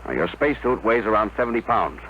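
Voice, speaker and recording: An actor playing an authoritative spaceship leader who gives brisk, methodical orders in a 1950s radio drama. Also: male voice, lowish voice, animated, highs cut off. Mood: steady, commanding. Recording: very noisy old radio broadcast.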